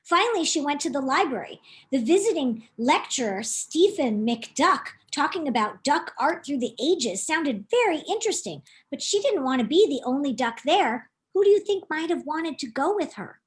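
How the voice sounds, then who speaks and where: A woman's voice: high voice, very animated, normal speed. A woman author reading her own children's book aloud, expressively, often putting questions to young listeners. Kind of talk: animated children's storytime narration.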